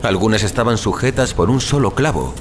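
A man's voice: lowish voice, animated, fairly quick. A man narrating a scripted suspense horror story as an audio fiction narrator. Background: music.

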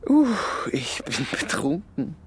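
Radio drama: a tipsy, hesitant actor with a lively, swinging voice. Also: male voice, mid-range voice, slow pace, background noise, exaggerated voice. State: drunk.

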